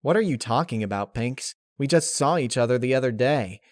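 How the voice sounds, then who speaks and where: A man's voice: mid-range voice, animated, fairly quick, dry recording, studio quality, close to an everyday voice. A man voicing a casual, bemused young tomboyish female pegasus pony in a story reading. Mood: confused, casual. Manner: casual, questioning.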